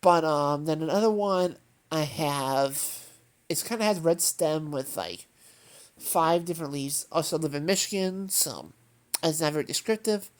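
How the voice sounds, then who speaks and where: Low-voiced, congested woman rambling. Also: a little slow. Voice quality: nasal. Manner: meandering, with many fillers and self-corrections. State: congested from allergies. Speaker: gaming channel host. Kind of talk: rambling casual chat over gameplay.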